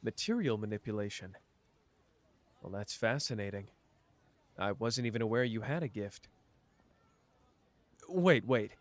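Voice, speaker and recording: A man's voice: fairly low pitch, lively intonation. A young man voicing a clever, protective young man in an ASMR roleplay, talking in a dry, teasing way close to the listener. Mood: curious. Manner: dry, teasing, quick-witted, close and intimate.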